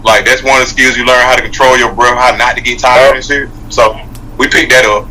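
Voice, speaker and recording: A man's voice: mid-range voice, fairly even intonation, brisk, pushed and bright, slight background noise, low-quality recording. A man who is a rapper, guesting on an interview and joking around loosely with a lot of profanity. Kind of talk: casual interview banter.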